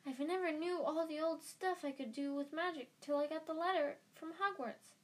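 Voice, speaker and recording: A woman voicing an excitable, starstruck young schoolboy wizard in a storybook, gushing breathlessly and eagerly. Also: sits high, normal speed, voice pushed up, lightly acted. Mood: excited, eager.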